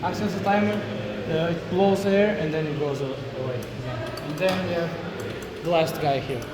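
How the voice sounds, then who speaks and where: A man from Portugal giving a halting, casual presentation of a student project, with many fillers. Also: fairly high pitch. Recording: echoey room, loud background noise.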